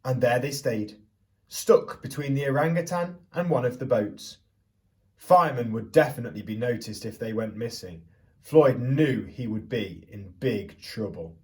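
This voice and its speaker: A man reading a picture book aloud to young children as a teacher would, theatrically, pausing to put questions to them. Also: mid-range voice, recorded from a distance. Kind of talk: expressive children's storybook reading with questions to listeners.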